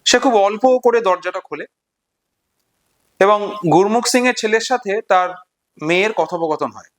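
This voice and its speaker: Male literature explainer, higher-pitched voice, unscripted storytelling lesson delivered deliberately with pauses. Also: animated, a bit bright.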